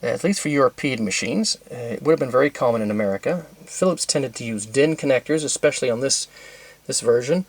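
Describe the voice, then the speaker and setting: Male demo presenter, medium pitch, unscripted and methodical technical explainer with hesitant, halting delivery. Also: no room sound. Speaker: presenter demonstrating vintage tape recorders.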